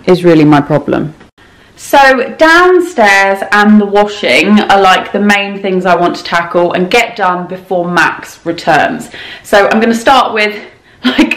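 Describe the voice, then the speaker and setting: Woman, thirties, chatty and self-mocking, mid-pitched voice, echoey kitchen sound. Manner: chatty, self-mocking, talks while moving and tidying. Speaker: cleaning vlogger. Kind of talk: casual clean-with-me vlog chat. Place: home kitchen and rooms, indoors. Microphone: camera mic, handheld and set on the counter.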